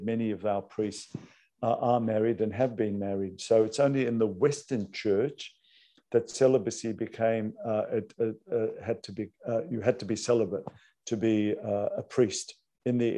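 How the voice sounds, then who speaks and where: A priest, explaining unscripted with hesitant fillers and restarts. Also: male voice, fairly low pitch, steady tone, moderate pace, dark tone. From Australia.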